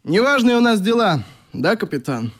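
An actor playing a troubled, conscientious and weary young youth leader in a radio play. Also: male voice, high voice, very animated, moderate pace, fairly bright tone, heavily acted. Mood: downcast, frustrated.

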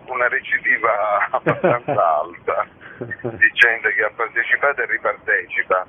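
A man with a fairly low, rough-edged voice answers as a guest in a radio interview. He is discursive and matter-of-fact, explaining things conversationally. The audio is muffled and lo-fi. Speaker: festival founder and organiser, interview guest.

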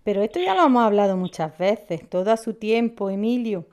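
A woman's voice: medium pitch, expressive, a little fast, darkish tone, clear, resonant tone, lightly acted. A woman playing a practical, caring, teasing middle-aged bar woman in a Spanish audio drama, with a Spanish accent. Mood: concerned, firm.

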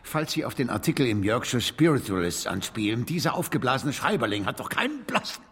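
An actor playing a proud, indignant older gentleman spiritualist in a radio drama, pompous and condescending. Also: medium pitch, sing-song, a little fast, husky, in a large hall. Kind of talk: German radio drama acting.

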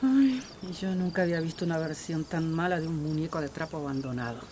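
A woman's voice: sits low, quick pace, warm tone, a little hiss or hum, close to an everyday voice. A woman playing an angry, fed-up village woman in a radio drama, sarcastic and confrontational as she rants. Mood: scornful, indignant.

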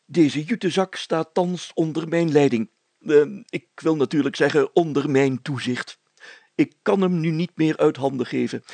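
A man's voice: fairly high pitch, gravelly, darkish tone, breathy edge, no room sound. A man voicing a pompous, officious and fussy village mayor in a storybook reading, speaking stiffly and formally with flustered hesitations.

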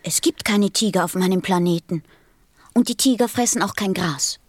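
Woman acting an earnest, husky-voiced little prince. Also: medium pitch, normal speed, dry recording, clean studio sound, lightly acted. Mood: earnest, polite. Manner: polite, serious, childlike directness. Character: earnest, innocent little prince, a young boy. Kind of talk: radio play acting.

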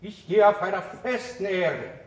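A man's voice: sits high, a little slow, heavy background noise. A man playing a frail, self-centred old cardinal in a radio drama, declaiming grandly. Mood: exalted, strained. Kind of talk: German radio drama acting. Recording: echoey, distant radio play recording.

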